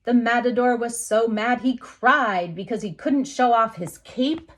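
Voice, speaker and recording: A woman teacher reading a picture book aloud to young children, expressively, with dramatic emphasis, pauses and asides to her listeners. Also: fairly high pitch, a bit bright.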